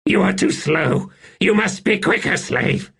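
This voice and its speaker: Man playing a young tyrant emperor, raised husky voice, swinging pitch, halting, unstable, weary, audiobook fantasy. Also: expressive, fairly bright tone, full character voice. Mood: anxious, imperious. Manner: halting, strained, swinging between command and fragility. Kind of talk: audiobook character acting.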